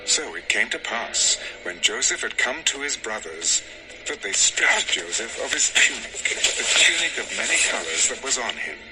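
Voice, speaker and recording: A man's voice: mid-range voice, very animated, moderate pace, rough recording. A man narrating a dramatized Bible story as a solemn, scripted storyteller. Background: music.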